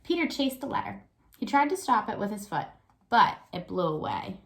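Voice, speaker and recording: A woman's voice: mid-range voice, sing-song, moderate pace, vocal fry. A woman, an elementary teacher giving a read-aloud lesson to young children and thinking aloud with prompting questions.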